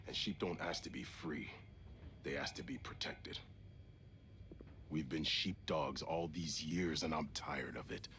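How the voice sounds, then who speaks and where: An actor playing a grim, weary rogue special forces soldier, speaking with menacing deliberation in a video game cutscene. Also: male voice, a bit bright, a little hiss or hum, full character voice. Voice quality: gravelly, low.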